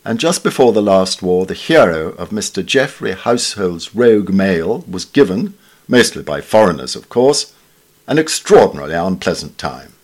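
A British man reading a scripted literary essay in a formal, measured, wryly understated way. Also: low voice, very animated. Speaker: reader of newspaper essays.

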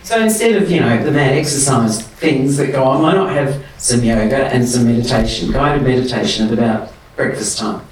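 A man's voice: moderate pace, hardly any pauses. Impassioned man, distant in a roomy space. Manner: impassioned, emphatic, rising to high pitch when animated. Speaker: guest. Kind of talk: conversational interview. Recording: roomy and distant with faint noise.

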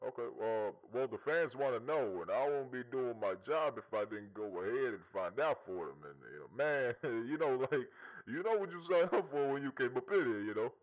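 A man's voice: mid-range voice, lively intonation, normal speed, low-quality recording. A man interviewing a rapper in a casual, teasing way. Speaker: interviewer in a spoof show. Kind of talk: casual podcast spoof chat. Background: hip hop beat.

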